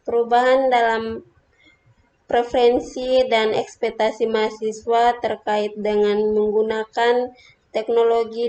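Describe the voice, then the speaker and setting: A woman's voice: a little high, warm tone. A young woman reading a student slide presentation carefully, with small stumbles. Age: young adult.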